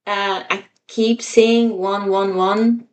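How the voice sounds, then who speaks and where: A woman's mid-pitched voice, recorded a little away from the microphone. She co-hosts a podcast in an informal, rambling, self-deprecating way, chatting casually. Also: fairly even intonation, slow pace, distant mic. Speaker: podcast co-host.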